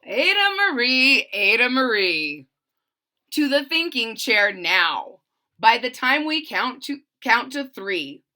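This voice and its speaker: A woman's voice: sits high, animated, unhurried, sharp tone, pitched above the performer's own voice, full character voice. A woman voicing frustrated, scolding parents in a children's storybook, with stern, emphatic commands. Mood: stern, fed up. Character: frustrated, scolding parents of a curious little girl.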